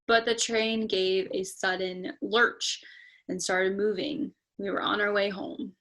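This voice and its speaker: A woman's voice: mid-range voice, flat delivery, slow, a bit bright, poor audio. A woman reading a children's picture book aloud expressively, with chatty asides spoken directly to young listeners. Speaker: storytime reader.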